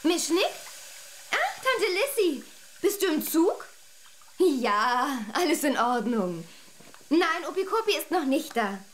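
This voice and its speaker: Caring, practical girl for children's audio play; high, lively, unhurried delivery. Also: a little hiss or hum, heavily acted. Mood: busy, reassuring. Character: caring, practical girl talking with her owl. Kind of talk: children's audio drama acting.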